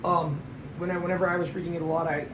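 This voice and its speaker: A man's voice: fairly high pitch, little pitch movement. Stammering man hosting, echoey room. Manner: halting, stammering, repeats words. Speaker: interview host. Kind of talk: casual interview. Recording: echoey room with background noise.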